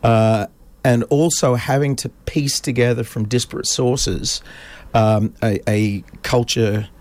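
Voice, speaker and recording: A man's voice: medium pitch, fairly even intonation, slow pace, a little mellow, no echo. A man in his fifties, raised in Australia, telling stories in a casual interview with many fillers and restarts. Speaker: podcast guest.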